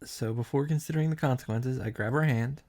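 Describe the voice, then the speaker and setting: A woman giving a casual, expressive recap of a video game, reading out text and reacting with exasperation and swearing. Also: extremely low pitch, brisk.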